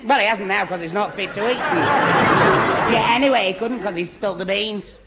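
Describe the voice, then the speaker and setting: A man's voice: high voice, moderate pace, a loud crowd, heavy background noise. A man playing a dim, well-meaning young pal who stammers and muddles along in a radio sitcom. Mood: muddled. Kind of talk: 1960s radio sitcom acting.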